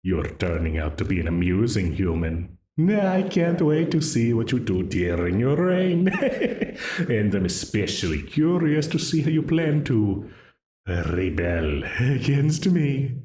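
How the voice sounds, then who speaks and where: A man voicing a mocking male archdemon in an audio roleplay. He speaks with a smooth, teasing, leisurely menace and chuckles often. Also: mid-range voice, very animated, dark tone. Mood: amused menace. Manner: slow, leisurely menace broken by frequent chuckles. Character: mocking, amused male archdemon binding a ruler to a contract. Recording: roomy echo.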